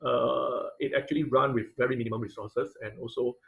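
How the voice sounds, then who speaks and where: Halting male online class instructor. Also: mid-range voice, fairly even intonation, gravelly, a bit bright. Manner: halting, searching for words, repeats phrases. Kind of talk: informal online lesson with question-and-answer. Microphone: online video-call audio.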